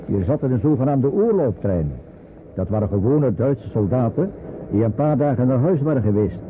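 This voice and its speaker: An actor as an assured, animated uncle. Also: male voice, medium pitch, normal speed, natural voice. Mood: reassuring. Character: reassuring, capable uncle escorting a refugee by train. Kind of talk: Dutch radio drama acting. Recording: noisy, narrow-band old radio play recording.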